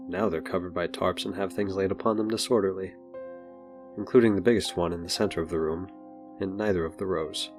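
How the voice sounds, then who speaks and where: A man's voice: low voice, lightly acted. A man narrating a scripted superhero audio drama. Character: narrator of a superhero adventure story.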